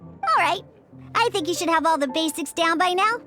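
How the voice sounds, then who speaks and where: A woman's voice: very high-pitched, lively intonation, normal speed, bright, forceful tone, soft background music, exaggerated voice. A woman voicing a feisty, proud little cat-girl bartender in a video game, blunt but grudgingly encouraging. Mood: brash, prickly. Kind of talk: video game character dialogue.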